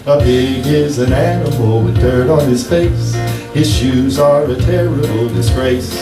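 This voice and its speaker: Guy singing an old pop tune onstage, half spoken bits, roomy distant sound, loud backing music. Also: medium pitch, unhurried. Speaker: guest singer. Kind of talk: sung popular standard with half-spoken verses. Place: live theatre stage. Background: musical accompaniment.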